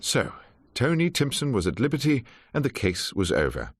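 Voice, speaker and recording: An actor playing a wry, sharp-witted ageing English defence barrister in a radio drama, droll and courtly. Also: male voice, mid-range voice, wildly swooping pitch, normal speed, husky, exaggerated voice. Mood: wry narration. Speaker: lead character and narrator. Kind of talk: radio drama acting and narration.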